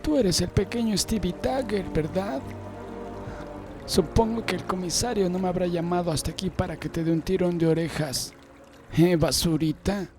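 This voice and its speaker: A high-pitched, bullying police sergeant played by a man from an audiobook novel. He is tall, Irish and mocking, talking down to everyone with menace. Music runs behind his words. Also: normal speed, darkish tone, lightly acted. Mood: taunting. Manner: condescending, bullying.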